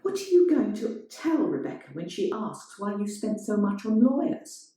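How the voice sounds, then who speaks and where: A woman's voice: mid-range voice, sing-song, normal speed, mellow, recorded from a distance, pitched above the performer's own voice, lightly acted. A woman voicing a defiant woman theatre producer in a dramatised short story, pressing and challenging. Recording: strongly echoing, roomy sound.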